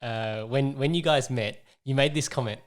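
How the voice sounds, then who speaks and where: A man's voice: mid-range voice, moderate pace. A man hosting a casual podcast interview, talking in a rambling way, repeating words and digressing. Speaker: podcast host.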